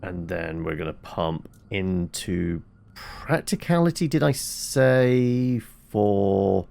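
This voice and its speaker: A man's voice: deep voice, animated, slow, gravelly, darkish tone, a little hiss or hum. A man doing casual gameplay commentary as a streamer, musing aloud and answering his own questions. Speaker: video game streamer.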